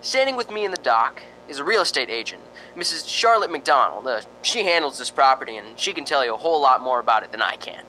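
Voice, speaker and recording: An actor playing a chatty, slightly nervous ghost-hunting radio host in a radio drama, hesitant and conversational. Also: male voice, medium pitch, sing-song, fast talker, hardly any pauses, bright, forceful tone, a little hiss or hum, poor audio. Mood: genial, informal. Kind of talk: radio drama acting, on-air commentary.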